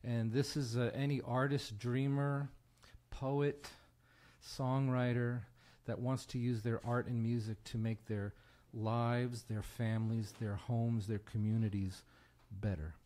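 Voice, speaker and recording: An American man speaking as an interview guest, earnest and conversational with a halting delivery. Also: mid-range voice, level tone, mellow. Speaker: guest musician and broadcaster.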